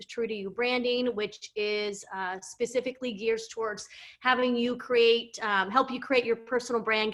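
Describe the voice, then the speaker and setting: Young woman, from San Diego, upbeat and chatty, bright tense voice, slightly high, video call. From San Diego, California. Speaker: business owner introducing herself. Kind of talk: casual webinar introduction.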